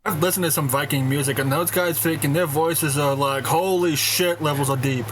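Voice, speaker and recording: A man co-hosting a casual podcast who talks rapidly and says freaking a lot. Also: fairly high pitch, steady tone, slight background noise. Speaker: podcast co-host. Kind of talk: casual chat.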